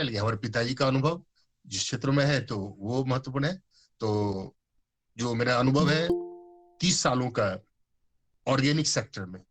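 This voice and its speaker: Guest speaker, male, 50s; informal, halting delivery, mid-pitched voice, video-call sound. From Bihar, India. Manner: conversational, many fillers and restarts, mixes English words. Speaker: guest speaker on organic farming. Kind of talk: informal talk to an online audience.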